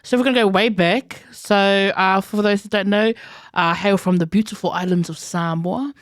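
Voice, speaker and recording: A woman's voice: medium pitch, steady tone, normal speed, no echo. Young woman, New Zealand accent, playful podcast co-host, dry close mic. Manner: playful, upbeat, laughs between lines. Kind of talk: casual podcast chat.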